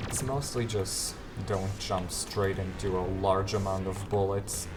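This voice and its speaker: A man giving an off-the-cuff video game walkthrough in a low-key, hesitant way, often trailing off. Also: steady tone, unhurried, music in the background. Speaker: gamer giving a guide.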